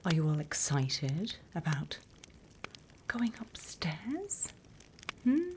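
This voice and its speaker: A British woman playing a sly, mock-stern demon teacher in a whispered ASMR roleplay, addressing her trainees with deliberate, teasing menace. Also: deep voice, sing-song, background noise, heavily acted. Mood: mock-stern, welcoming.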